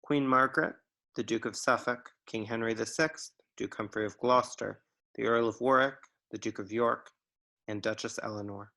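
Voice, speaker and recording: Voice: medium pitch, fairly even intonation, normal speed, vocal fry, pushed and bright. A narrator reading out scene headings and stage directions in plain scripted narration.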